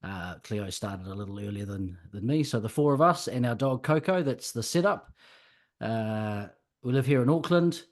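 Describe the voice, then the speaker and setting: A man's voice: mid-range voice, lively intonation, normal speed. A man in his forties with a New Zealand accent, a podcast guest telling his story in a friendly, easygoing way with plenty of fillers. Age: 40s. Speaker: guest, electrician and business owner. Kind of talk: casual podcast chat.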